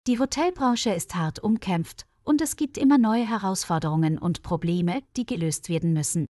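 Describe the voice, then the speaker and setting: A woman reading a scripted story as a narrator for language learners, in a measured read-aloud manner. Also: mid-range voice, darkish tone, no room sound, clean studio sound.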